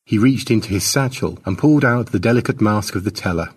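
Husky low-voiced man reading a story. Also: expressive, fast talker, darkish tone, far from the mic. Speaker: author narrating own short story. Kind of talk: expressive audiobook narration.

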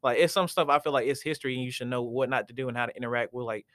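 A young man hosting a casual podcast discussion, talking in a relaxed, halting way and often repeating himself. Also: medium pitch, no echo. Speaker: podcast host.

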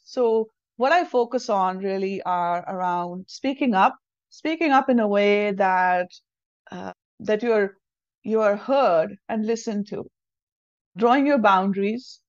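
A woman's voice: mid-range voice, no echo. A woman with an Indian accent and Hindi as her first language, giving thoughtful, explanatory answers in a conversational interview. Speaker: podcast guest, English language teacher and trainer. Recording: dull lo-fi audio.

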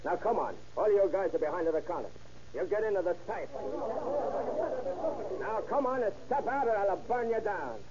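An actor playing a bossy, tough gangster leader in an old radio drama, talking in gruff, slangy tough-guy speech. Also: high-pitched, expressive, moderate pace, pauses often, dull audio. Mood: menacing. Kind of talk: 1930s radio acting. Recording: scratchy old radio recording with hiss.

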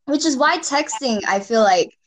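Lively young woman, slightly high voice. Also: normal speed. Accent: American, with Singaporean traces. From Singapore. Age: young adult. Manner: lively, expressive, laughs often, swears casually. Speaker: podcast guest. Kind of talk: casual chat.

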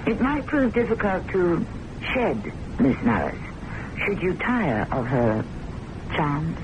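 An actress in an old-time radio drama playing a prim, devoted secretary, formal and precise, quietly disapproving and anxious. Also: female voice, lowish voice, sing-song, takes it slowly. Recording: dull, noisy old radio recording.

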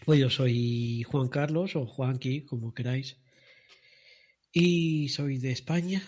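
Husky man from Toledo. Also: medium pitch, slow, a little mellow. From Toledo, Spain. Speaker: podcast contributor. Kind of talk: casual self-introduction.

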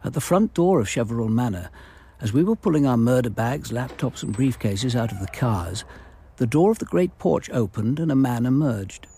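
An actor playing a composed, courteous senior detective in a radio drama, with formal, measured speech and an English accent. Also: male voice, medium pitch, animated, dark tone, slight background noise, natural voice. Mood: composed, wry. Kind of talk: radio drama, first-person narration and dialogue.

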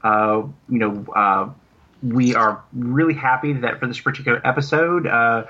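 A man chatting casually as an interview guest, speaking in a halting, rambling way and repeating words. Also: mid-range voice, fairly even intonation, pushed and bright, dull audio. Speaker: guest, audio drama producer.

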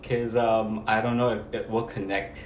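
A man's voice: on the low side, flat delivery, normal speed, echoey room, slight background noise. A man from the Philippines with a Filipino accent, speaking hesitantly with many fillers as an interview guest. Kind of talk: casual personal conversation.

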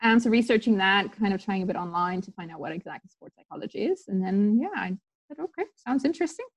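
A woman's voice: mid-range voice, expressive, fast talker. Chatty woman telling her story. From Tanzania. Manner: chatty storytelling with many fillers and restarts. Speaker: sports psychologist guest. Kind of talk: casual interview talk.

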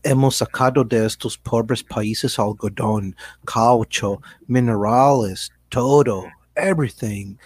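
A man's voice: medium pitch, takes it slowly, few pauses, slightly rough, no room sound. A man playing a slick, evasive American businessman in a satirical radio drama, speaking Spanish with an American accent, hesitant and dropping in English words. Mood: smug, persuasive. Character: slick, evasive American businessman selling his multinational company.

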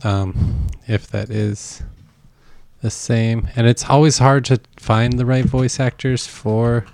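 A man's voice: medium pitch, steady tone, slow, creaky, darkish tone. A young man co-hosting a podcast, chatting casually and dropping short dry jokes. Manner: dry, brief joking interjections. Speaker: podcast co-host.

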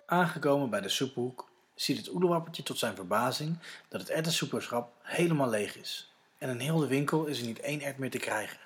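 A man's voice: medium pitch, expressive, brisk. A man with a Netherlands Dutch accent, narrating a scripted story for children.

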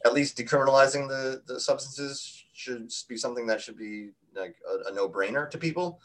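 A man co-hosting a podcast in casual discussion, halting and repeating words as he reasons aloud. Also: mid-range voice, a bit bright, poor audio. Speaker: podcast co-host.

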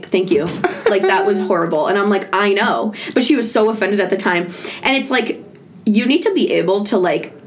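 Casual young woman podcast host chatting. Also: mid-range voice. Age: early 20s. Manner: chatty, informal, heavy use of "like". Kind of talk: casual chat.

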